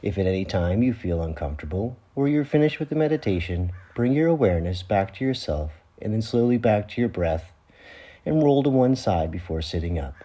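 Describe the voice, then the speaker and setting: A man leading a guided meditation, giving gentle, unhurried instructions in short phrases with pauses between them. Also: on the low side. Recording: roomy, echoing room sound.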